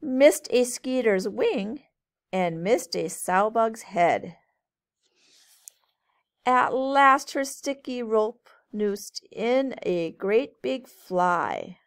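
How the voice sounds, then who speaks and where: A woman teacher reading a storybook aloud to children in a measured, sing-song way. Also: on the high side, expressive. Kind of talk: storybook read-aloud narration.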